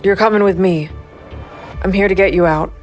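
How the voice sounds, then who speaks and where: A woman's voice: mid-range voice, steady tone, moderate pace, darkish tone, faint music behind, natural voice. A woman playing a cold, composed vigilante investigator, narrating a gritty noir crime audio drama in a controlled, stern way. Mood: firm, guarded. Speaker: narrator and lead character.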